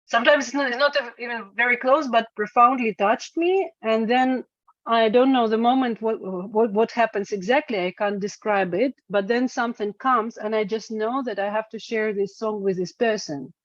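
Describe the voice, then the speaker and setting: A woman talks through her thoughts slowly and reflectively, pausing to find the right words. The recording is dry with no room sound and has a dull, lo-fi tone. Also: a little high, fairly even intonation, dry recording. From Eastern Europe. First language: Russian. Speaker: guest, singer-songwriter. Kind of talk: casual interview talk.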